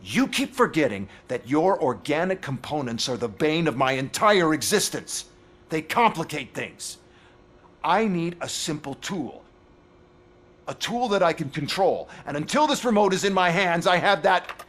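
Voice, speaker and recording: An actor playing an arrogant, scheming corporate executive as a video game villain, sneering and sarcastic. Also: male voice, a little high, very animated, unhurried, a bit bright, full character voice. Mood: sarcastic, menacing.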